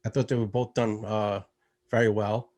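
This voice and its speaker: A man from the United States talking casually as an interview guest, relaxed and rambling, with lots of fillers. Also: fairly low pitch, moderate pace. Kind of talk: casual conversation.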